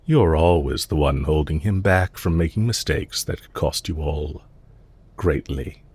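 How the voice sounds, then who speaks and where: A man playing a suave, calculating villain leader in an intimate audio roleplay, speaking to the listener politely and softly, with measured, lightly teasing courtesy. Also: very deep voice, husky, exaggerated voice. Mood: composed, courteous.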